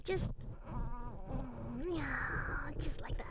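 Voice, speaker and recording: A woman playing a clingy, teasing young catgirl best friend in an intimate roleplay ASMR, speaking softly and cutely with breathy murmurs. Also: sits high, heavy background noise, exaggerated voice. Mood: cozy, possessive. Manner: soft, cutesy, breathy sighs and murmurs, slow. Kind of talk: intimate roleplay ASMR, scripted monologue to the listener.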